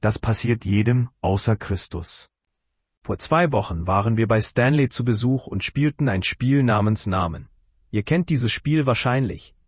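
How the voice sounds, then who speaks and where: A man preaching a sermon to his congregation, asking searching questions in an earnest, measured way. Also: mid-range voice, lively intonation, slightly rough, dry recording.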